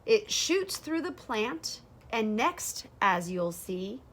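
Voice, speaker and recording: Slow, lively woman reading to children. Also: fairly high pitch. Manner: deliberate, expressive phrasing for children. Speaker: educator reading a picture book aloud. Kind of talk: children's read-aloud of rhyming verse. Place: bright sunroom with large windows, indoors.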